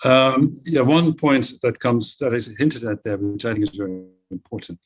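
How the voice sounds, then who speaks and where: Husky-voiced Dutch man on a panel. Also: medium pitch, fairly even intonation, normal speed, rough recording. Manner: emphatic, blunt opinions. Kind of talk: panel discussion.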